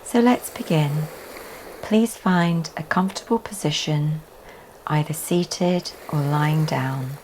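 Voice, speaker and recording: Very slow, low-voiced woman guiding relaxation. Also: poor audio. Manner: soft, often breathy delivery with long pauses. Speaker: yoga teacher guiding a meditation. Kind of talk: slow guided relaxation meditation. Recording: faint background noise.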